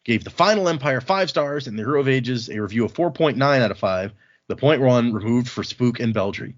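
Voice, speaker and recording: A man's voice: mid-range voice, animated, fairly quick, highs cut off. A man from Texas hosting a podcast, chatting casually and reading out listener mail. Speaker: podcast host.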